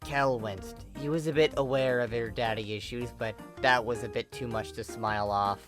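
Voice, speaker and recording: A woman narrating a comic story aloud in an expressive reading style. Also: a bass voice, moderate pace, over music. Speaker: fan fiction reader.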